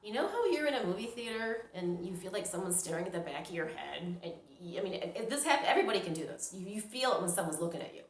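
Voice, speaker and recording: A woman's voice: mid-range voice, lively intonation, fast talker, few pauses. A middle-aged woman from New York tells a personal story casually and dramatically, acting out her reactions. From New York, United States. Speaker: storyteller, vlogger. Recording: roomy, echoing sound.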